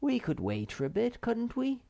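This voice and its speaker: A man voicing Snufkin, a calm and practical male wanderer in a storybook, easygoing and coaxing. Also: very high voice, normal speed, vocal fry, dry recording, clean studio sound, voice pushed up, full character voice.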